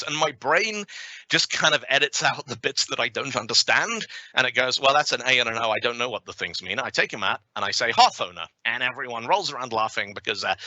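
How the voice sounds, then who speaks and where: A British man delivers a tech conference talk in a bright, forceful voice that rises and falls a lot. He is wry and self-deprecating, with quick asides as he walks through a demo. The sound is close and dry. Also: mid-range voice, no echo, lo-fi sound. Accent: British English. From Britain. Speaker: conference speaker.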